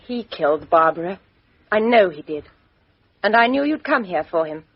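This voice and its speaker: A defiant, well-bred young woman with an upper-middle-class English accent. Her husky voice swings widely in pitch, clipped and sardonic, in a dry, slightly muffled radio drama recording. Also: animated, normal speed, no room sound. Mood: bitter, defiant. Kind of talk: British radio mystery drama acting.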